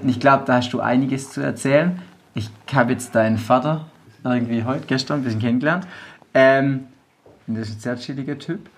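A man's voice: medium pitch, a little fast. A man from southwest Germany hosting a podcast, talking loosely in slang and laughing often. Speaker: podcast host. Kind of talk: casual chat. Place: house in a village.

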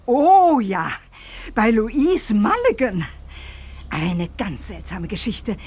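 A woman's voice: medium pitch, sing-song, takes it slowly, a little mellow, breathy edge, exaggerated voice. An actress playing an agitated, gossipy neighbour woman in a radio play, indignant and dramatic. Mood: indignant gossiping. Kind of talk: German radio play acting.

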